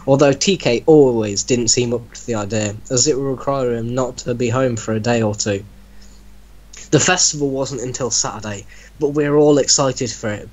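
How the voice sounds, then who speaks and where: A man's voice: medium pitch, a little mellow. Man reading a story aloud, bantering. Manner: breaks off to mock the text he reads. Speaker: reader in an online reaction group. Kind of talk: dramatic reading mixed with casual banter.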